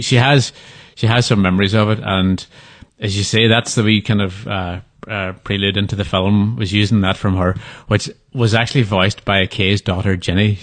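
A man talks in a fairly low voice, stopping and restarting often as he recalls details. The sound is close and dry, with little room around it. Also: no echo. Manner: hesitant, many restarts and fillers. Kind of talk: casual interview answers.

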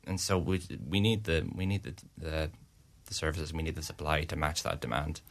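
Young Irish man, very low and gravelly, stop-start interview talk. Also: fairly even intonation, fairly bright tone. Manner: halting, many restarts and fillers. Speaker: student union representative. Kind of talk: radio interview answers.

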